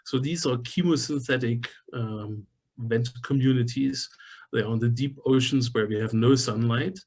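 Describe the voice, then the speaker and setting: A man from Germany with a heavy German accent giving a technical guest lecture, explaining things in a measured way with frequent filler sounds. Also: medium pitch, a little mellow, slightly rough. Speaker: guest lecturer, geology professor.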